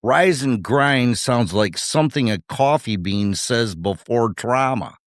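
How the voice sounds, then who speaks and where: Wry male podcaster, very animated delivery. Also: medium pitch, slow pace, no echo, clean studio sound. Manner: punchy, short rhetorical phrases with wry humour. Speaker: podcast co-host. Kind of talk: scripted conversational podcast commentary.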